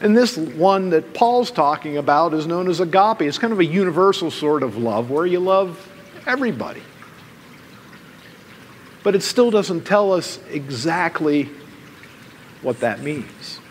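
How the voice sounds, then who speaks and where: A middle-aged American man, a pastor preaching a sermon in deliberate, emphatic phrases with pauses. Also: fairly high pitch, expressive. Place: church room with stained glass windows, indoors. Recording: long hall reverb, some background noise.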